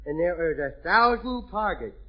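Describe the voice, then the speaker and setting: A man's voice: high-pitched, lively intonation, normal speed. A man playing a mischievous little boy in 1940s radio comedy, talking in childish, ungrammatical baby talk. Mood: silly. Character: mischievous little boy Junior, bratty and cheeky. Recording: noisy lo-fi vintage radio broadcast.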